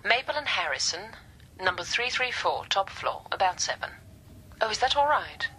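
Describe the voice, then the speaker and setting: A bright, crisp female voice comes down a telephone line with background noise, as a probing scientist in a radio play. She is coolly polite and brisk, with lively swings in pitch. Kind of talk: radio drama acting.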